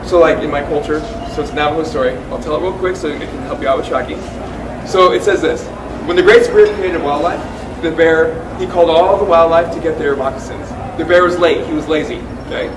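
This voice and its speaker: A wildlife tracking panelist telling stories in a chatty, animated way at a live question-and-answer event, full of "like" and restarts. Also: male voice, fairly high pitch, a little fast. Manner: chatty, lots of "like" and self-restarts. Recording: echoey room, distant mic, noisy.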